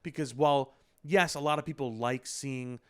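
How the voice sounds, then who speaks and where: A man's voice: mid-range voice, fairly even intonation, normal speed, clean tone. A man co-hosting a casual pop culture discussion, talking in a discursive, opinionated way with elaborate wording. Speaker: podcast co-host.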